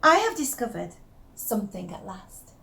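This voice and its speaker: A woman's voice: mid-range voice, sing-song, moderate pace, gravelly, exaggerated voice, poor audio. A woman playing an unravelling, obsessive young wife who confides her secrets in a theatrical, intense first-person monologue. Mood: agitated, marvelling. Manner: confiding, intense, theatrical, with shifts from hushed to emphatic.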